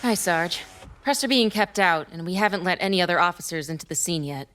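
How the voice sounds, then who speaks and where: Woman playing a lowish-voiced brisk police officer. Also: fairly bright tone, a little hiss or hum, close to an everyday voice. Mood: brisk, businesslike. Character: brisk female police officer reporting to her sergeant. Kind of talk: crime audio drama dialogue.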